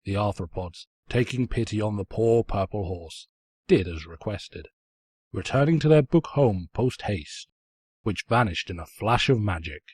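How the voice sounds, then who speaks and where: A man's voice: fairly low pitch, lively intonation, measured pace, husky, no room sound, studio quality. A man reading a story as a theatrical narrator, mock-pompous and sardonic. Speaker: narrator, fan fiction reader.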